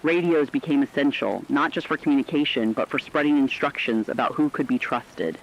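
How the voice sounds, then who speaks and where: A man delivering scripted apocalyptic horror narration in a grave, measured storytelling manner. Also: mid-range voice, lo-fi sound, slight background noise.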